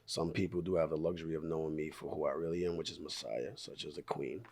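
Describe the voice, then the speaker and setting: A hoarse man in his thirties from Staten Island, being interviewed casually about his music, laid-back and rambling, clearing his throat often. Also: extremely low pitch, fairly even intonation, fast, darkish tone. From Staten Island, New York. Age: 30s. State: hoarse, voice partly lost.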